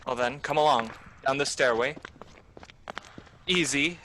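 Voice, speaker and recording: A man's voice: medium pitch, unhurried, bright, forceful tone, a little hiss or hum. A man playing a greedy, scheming butler in a radio play, pushy and contemptuous. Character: scheming, greedy male butler, bitter and bold. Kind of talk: amateur radio play acting.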